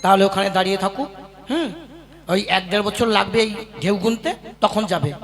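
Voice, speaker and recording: A man's voice: high voice, a little fast. A man voicing a greedy government official in a puppet cartoon who blocks boats until he is paid a bribe. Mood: smug. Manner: smug, bossy, demanding bribes. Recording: voice over loud music.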